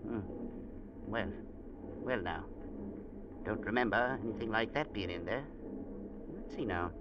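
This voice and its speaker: An actor playing a blunt, bossy, unflappable sea captain in a vintage radio drama, with curt, folksy talk. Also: male voice, fairly low pitch, measured pace, close to an everyday voice. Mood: absorbed, testy. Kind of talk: 1940s-50s radio drama acting. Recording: muffled, noisy vintage radio recording.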